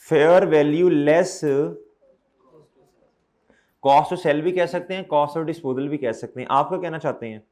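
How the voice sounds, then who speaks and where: A man, lecturing accounting students in a hall and loudly teasing and scolding them. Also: fairly high pitch, unhurried, long pauses. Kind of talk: lively classroom lecture.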